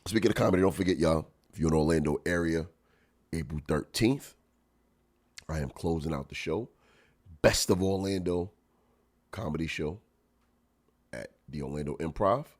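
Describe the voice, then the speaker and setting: A man hosting a podcast, a stand-up comic chatting and reacting to clips, with a stuffy nose and loud laughs. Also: a bass voice, normal speed, long pauses. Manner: animated, laughs loudly, chatty slang. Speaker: podcast host and stand-up comedian. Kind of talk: casual chat reacting to clips.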